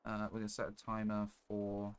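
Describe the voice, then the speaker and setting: Man, game streamer, low-pitched, monotone delivery, casual thinking-aloud commentary, some background noise. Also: moderate pace.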